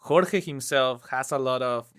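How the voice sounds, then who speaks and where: A man's voice: mid-range voice, measured pace, fairly bright tone, smooth. A man interviewing as a podcast producer, speaking in a thoughtful, conversational way.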